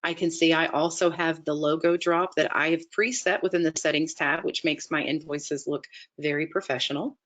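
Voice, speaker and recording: A woman narrating an instructional software demonstration in a methodical, explanatory way, step by step. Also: low-pitched, fairly even intonation, moderate pace, hardly any pauses. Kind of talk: instructional screen-share walkthrough narration.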